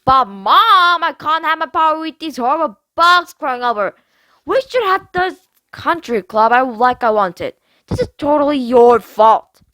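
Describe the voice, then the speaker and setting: A woman's voice: sits high, animated, unhurried, bright, forceful tone, no echo, pitched above the performer's own voice, full character voice, poor audio. Woman doing a spoiled whiny teen girl. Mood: whining. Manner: whiny, dramatic, self-pitying. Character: spoiled dramatic teenage girl. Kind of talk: storybook reading.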